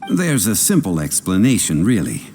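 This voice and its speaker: Courtly game scholar-consultant, male: low, dark voice, composed, polite, supple intonation. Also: wildly swooping pitch, normal speed, faint music behind. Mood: calmly disagreeing. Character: calm, learned, courteous gentleman consultant. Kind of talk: video game voice acting.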